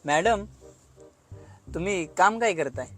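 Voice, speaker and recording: Casting: cheeky, lazy rickshaw driver played by a man, high-pitched male voice, wisecracking cartoon comedy, music underneath. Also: expressive, unhurried, a bit bright. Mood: chatty, joking. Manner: wisecracking, colloquial. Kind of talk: comic cartoon dialogue.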